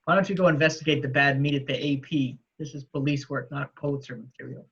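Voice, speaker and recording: A man's voice: mid-range voice, steady tone, quick pace, a bit bright, dull audio, natural voice. A man reading a curt, sarcastic police detective in his late thirties, in an amateur table read of a film script. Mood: curt, dismissive.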